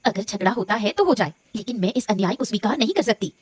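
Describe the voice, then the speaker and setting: A woman's voice: medium pitch, animated, fast, breathy edge, no room sound. A woman voicing an outspoken, principled married village woman in a cartoon story, challenging and reproachful. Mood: righteous, reproachful. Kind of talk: Hindi cartoon story dialogue.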